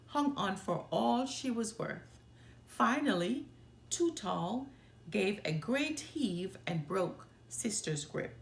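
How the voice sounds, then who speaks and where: Slow, expressive woman storyteller. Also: mid-range voice, vocal fry, roomy sound. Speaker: storyteller, children's book reader. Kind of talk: expressive storybook read-aloud narration.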